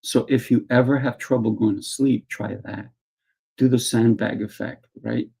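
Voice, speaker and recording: A man who teaches martial arts, speaking in a calm, soft guiding way as a podcast guest. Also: mid-range voice, normal speed, gravelly, breathy edge, rough recording. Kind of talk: conversational interview talk.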